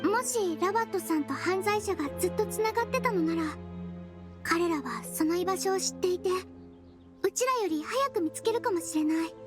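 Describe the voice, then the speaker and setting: Little nurse game character, extremely high girlish voice, gentle, earnest, caring, composed, light music behind. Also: lively intonation, moderate pace. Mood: reassuring. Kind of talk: Japanese game voice acting.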